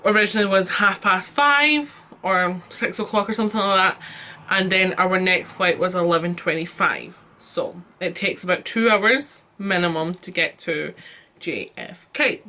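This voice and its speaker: A woman's voice: fairly low pitch, measured pace, pushed and bright. A young Scottish woman telling a storytime rant as a vlogger, chatty and exasperated, with lots of fillers.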